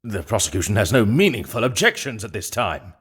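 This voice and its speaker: Guy doing haughty game prosecutor, low husky voice, curt and formal. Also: very animated, fairly quick, a bit bright, full character voice. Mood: reluctant, formal. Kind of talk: dubbed courtroom game acting.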